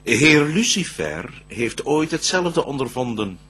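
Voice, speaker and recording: An actor playing a shrewd, wary devil in a Dutch verse radio drama, declaiming intensely in a raspy voice. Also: male voice, medium pitch, big pitch swings, slow, fairly bright tone, exaggerated voice. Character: shrewd, wary male devil, conspiring accomplice in temptation.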